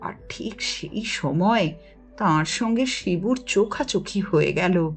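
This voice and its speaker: A woman's voice: on the low side, expressive, takes it slowly. A woman narrating a children's story expressively, as an audiobook reader. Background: music.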